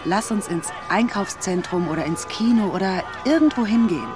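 An actress playing a bold, playful female fast-food worker who coaxes eagerly in a radio drama, with music underneath. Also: medium pitch, animated, lightly acted. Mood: playful, coaxing.

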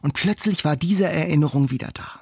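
A man's voice: fairly high pitch, a little fast, gravelly, a little mellow, slightly rough, no echo, clean studio sound. A man, co-hosting a conversational book podcast in an enthusiastic, reflective manner. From Germany. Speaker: podcast co-host discussing books.

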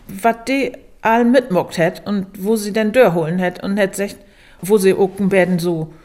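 A woman's voice: medium pitch, darkish tone. Northern German woman chatting in an interview. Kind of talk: conversational interview commentary.

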